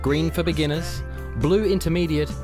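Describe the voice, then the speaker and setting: A man giving scripted, informative travel narration in an even delivery. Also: fairly high pitch, normal speed. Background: music.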